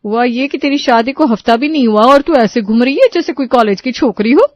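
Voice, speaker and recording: A woman's voice: on the high side, animated, brisk, darkish tone, no echo, dull audio, lightly acted. A woman voicing a nagging, sarcastic middle-aged mother-in-law in a cartoon story, chiding with rhetorical questions. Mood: disapproving. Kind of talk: Hindi cartoon moral story voice acting.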